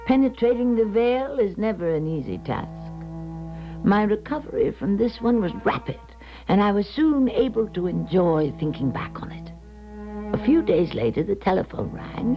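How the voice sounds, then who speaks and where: A woman's voice: medium pitch, close to an everyday voice. Woman acting an intense, theatrical radio clairvoyant. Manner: formal, theatrical. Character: Madam Sonia, intense female clairvoyant telling her own tale. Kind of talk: 1970s radio drama acting and narration. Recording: dull 1970s radio broadcast recording.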